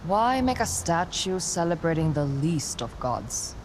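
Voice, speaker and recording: An actress playing a tough, guarded young warrior in a video game, blunt and impatient, with a dry, no-nonsense delivery. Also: female voice, on the low side, big pitch swings, measured pace, slight background noise. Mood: dry, wary. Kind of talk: cinematic video game acting. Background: background music.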